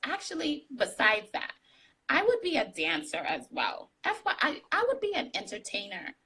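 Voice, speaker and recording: A woman's voice: on the high side, huge pitch swings, normal speed, pushed and bright, husky, low-quality recording. A woman guest speaking candidly in a casual interview, laughing often, with what she calls a tiny voice, on a live video call. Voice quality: describes her own voice as tiny. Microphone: phone on a live video call.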